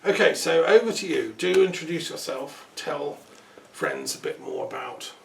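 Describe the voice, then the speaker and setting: A man hosting a relaxed interview, talking in a thoughtful, rambling way with asides. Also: a little high, normal speed, vocal fry. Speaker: host. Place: peace museum building. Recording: roomy sound from a distance, faint noise.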